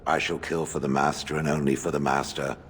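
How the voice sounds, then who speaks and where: An actor playing an ancient stone golem in a video game, sardonic and haughty, with dry, formal phrasing. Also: male voice, a bass voice, little pitch movement, fairly quick, fairly bright tone, a little hiss or hum. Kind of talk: video game character acting.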